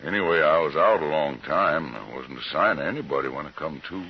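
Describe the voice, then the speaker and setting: An actor playing a grim homesteader with a Western American drawl and halting folksy speech, in old radio western style. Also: male voice, rumbling low, slightly rough, heavily acted. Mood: defensive, weary. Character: homesteader Jim, grim slow-drawling frontier man. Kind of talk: 1950s radio western acting. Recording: muffled, noisy vintage radio recording.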